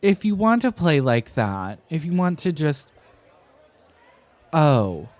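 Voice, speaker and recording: A man playing a flustered, dramatic, tipsy young scholar in an ASMR roleplay, enunciating carefully with halting, theatrical flourishes and an affectionately defensive temper. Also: fairly high pitch, very animated, no echo. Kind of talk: ASMR roleplay, acted one-sided conversation.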